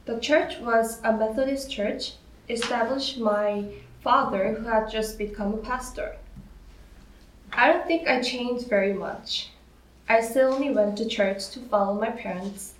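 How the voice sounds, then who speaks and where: A woman's voice: fairly high pitch, a little hiss or hum. A young woman from Korea, reading her personal faith story to her church in an earnest, careful way. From South Korea. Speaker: church member sharing testimony. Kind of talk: read personal testimony. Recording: roomy, distant from the mic.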